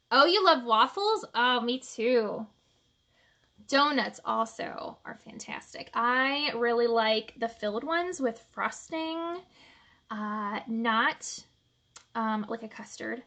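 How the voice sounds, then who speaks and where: A woman hosting a children's storytime, reading aloud expressively with chatty, encouraging asides. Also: fairly high pitch. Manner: expressive, encouraging, asks the listeners questions. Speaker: storytime host reading picture books to children.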